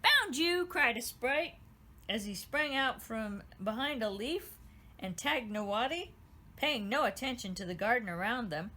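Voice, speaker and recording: A woman, the author, reading her children's storybook aloud with gentle, expressive storytelling. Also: on the high side, a little slow.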